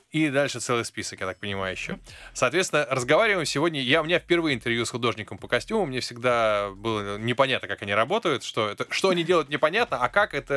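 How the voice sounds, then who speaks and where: A male radio host with a bright, forceful voice introduces his guest and jokes along the way. His pitch sits in an ordinary middle range, and the studio sound is dry and close. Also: no room sound. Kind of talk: interviewing a guest.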